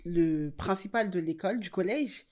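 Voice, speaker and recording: A woman in her twenties from France, a make-up artist guest telling stories in a casual interview, animated and quick to laugh. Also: lowish voice. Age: 20s. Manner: animated storyteller who laughs often.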